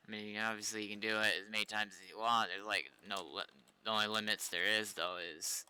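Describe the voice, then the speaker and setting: Male game streamer, casual and rambling, very bright forceful edge, lowish pitch. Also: clear, resonant tone. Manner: rambling, thinking aloud. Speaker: game server developer and streamer. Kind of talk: casual gaming livestream chat.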